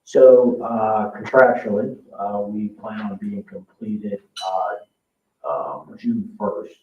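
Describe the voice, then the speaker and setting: An American man giving an informal budget presentation at a public meeting in a halting, hesitant way, as a construction project manager. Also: fairly low pitch, darkish tone. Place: indoor town council meeting room. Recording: roomy, distant, dull meeting-room audio with faint noise.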